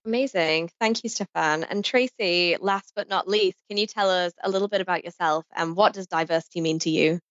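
A woman acts as podcast host, guiding a panel interview. Her voice sits somewhat low and her delivery is effusive and conversational. The sound is dry and a bit muffled. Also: fairly quick, few pauses, no room sound.

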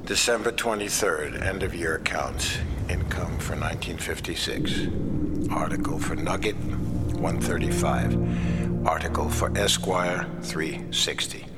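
A weary middle-aged writer under pressure in a radio drama. His voice is very low, and his delivery is measured and somber. Loud music plays behind him. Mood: weary, bleak.

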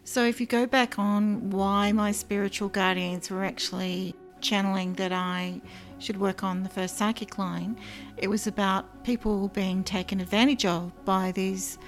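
A woman, a guest in an interview, telling of her past work in an earnest, measured way with frequent ums. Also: mid-range voice. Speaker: former psychic line worker, guest. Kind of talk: interview, personal recollection.

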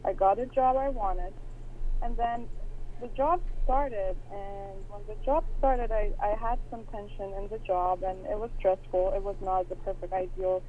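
A woman in her thirties from Iran, calling in and speaking hesitantly with many pauses and fillers about personal struggles. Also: medium pitch, fairly even intonation. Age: 30s. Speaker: caller. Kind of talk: personal call-in conversation. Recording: tinny phone line with faint hiss.

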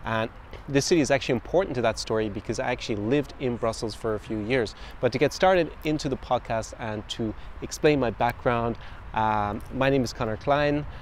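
A man's voice: medium pitch, fairly quick, a little hiss or hum. A young man from Ireland with a faded, mixed Irish accent, talking casually as a podcast host, with frequent fillers and restarts. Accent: mixed, faded Irish with international influences. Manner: conversational, with frequent fillers and self-corrections. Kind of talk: casual talk to camera. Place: outdoors in a city street.

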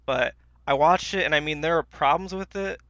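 A man's voice: a little high, moderate pace, a bit bright, dry recording, lo-fi sound. A man co-hosting a podcast, chatting casually in a hesitant, rambling way. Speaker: podcast co-host. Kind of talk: casual chat about films.

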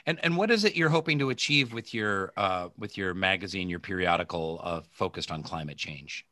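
A man hosting a podcast over a video call, enthusiastic and halting, with many fillers. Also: sits low, lively intonation, pushed and bright, dry recording. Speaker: podcast host. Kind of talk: casual video call chat.

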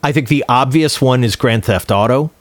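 A man's voice: mid-range voice, moderate pace, no echo. A man talking casually and bluntly, telling stories as a podcast panelist with some swearing. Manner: blunt, profane storytelling. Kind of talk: casual chat and anecdotes.

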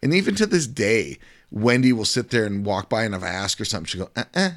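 A deep-voiced middle-aged man co-hosting a casual podcast. He rambles, stacks up repeated words and gets animated as his stories build. His voice sits very low. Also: fast talker. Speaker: podcast co-host. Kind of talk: casual chat.

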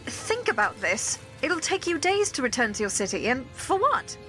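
A woman's voice: high-pitched, very animated, moderate pace, fairly bright tone, soft background music. A woman playing a sensible, frank fantasy adventurer in an audio drama. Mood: firm.

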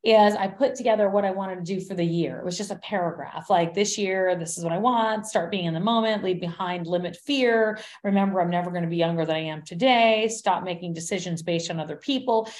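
A woman in her fifties, a guest in a casual interview, talking in a chatty, animated way with frequent restarts. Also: lowish voice, a little fast, few pauses. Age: 50s.

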